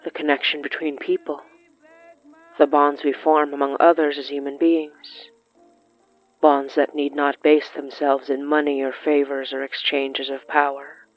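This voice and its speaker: Solemn scholarly master of magic, acted by a narrator, measured lecturer, disdainful, audio fiction, music underneath. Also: medium pitch, little pitch movement, no echo, muffled recording, close to an everyday voice. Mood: solemn, reflective. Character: Master Savard, learned, disdainful man of magic.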